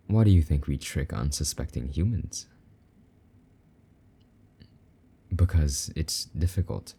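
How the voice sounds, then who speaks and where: A man playing a possessive but tender, lonely demon lover in an intimate romantic audio roleplay, speaking softly and coaxingly in a husky voice. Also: full character voice. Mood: wistful. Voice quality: very deep, dark, husky. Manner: soft, slow-burning, gently coaxing endearments.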